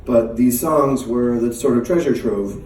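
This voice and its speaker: A middle-aged man talks casually from a stage between numbers, full of small laughs and halting fillers. His mid-range voice carries into a roomy live space and sounds picked up from some distance, with faint room ambience behind. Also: medium pitch, a little mellow, slight background noise. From United States. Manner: chatty, laughing often, hesitant with many fillers. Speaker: singer and performer. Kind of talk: casual onstage chat between songs.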